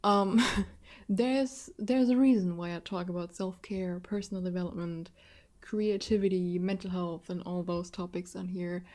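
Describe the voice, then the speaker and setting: A woman's voice: on the low side, steady tone, measured pace, dark tone. Woman, early 20s, German accent, English not her first language. Chatty, self-deprecating solo podcast host. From southwest Germany. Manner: chatty and self-deprecating, laughs often between sentences. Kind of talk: casual solo podcast talk.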